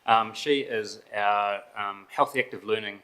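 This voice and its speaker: A man's voice: on the low side, measured pace, sharp tone, clean tone. A New man, a sports organisation manager, introducing himself to a council committee in a friendly, informal way with ums.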